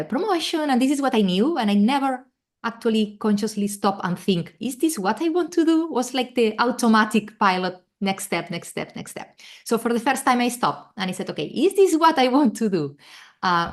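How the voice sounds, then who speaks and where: A middle-aged woman from Spain with a Spanish accent, talking earnestly as a guest on a video call with many fillers and restarts. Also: mid-range voice. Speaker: guest, travel coach. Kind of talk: casual interview chat.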